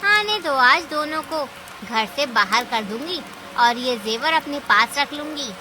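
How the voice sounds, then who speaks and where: A woman's voice: high voice, lively intonation, measured pace, noisy background. A woman playing a greedy, scheming, easily panicked aunt animal in a cartoon story, with theatrical scolding exclamations. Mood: greedy, gloating. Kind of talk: Hindi cartoon story acting.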